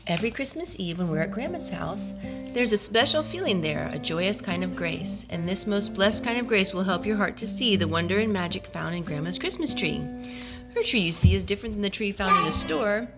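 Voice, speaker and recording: A woman reading a rhyming picture book aloud to young children, with expressive, gently dramatic storytelling. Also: medium pitch, a little fast, over loud music.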